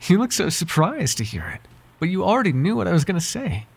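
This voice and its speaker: Male actor as devoted, courtly butler for ASMR roleplay; soft-spoken, husky, slightly gravelly, politely flirtatious, prone to hesitation. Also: high voice, very animated, fairly quick, heavily acted. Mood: fond, flirtatious. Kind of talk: ASMR roleplay voice acting, intimate one-sided dialogue.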